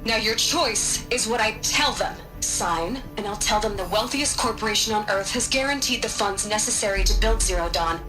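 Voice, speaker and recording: A woman's voice: medium pitch, moderate pace, few pauses, natural voice, rough recording. An actress voicing a ruthless woman scientist in a video game, speaking in a cool, controlled, commanding way. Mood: cold. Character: ruthless, icy woman scientist issuing an ultimatum. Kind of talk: video game cutscene acting. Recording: game audio with music underneath.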